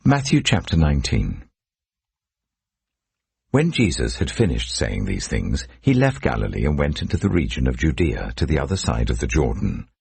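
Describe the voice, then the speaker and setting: A man reading scripture as a narrator in a solemn, measured, dramatic storytelling delivery. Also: a bass voice, sing-song, vocal fry, a little mellow, slightly rough, no room sound. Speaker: Bible narrator.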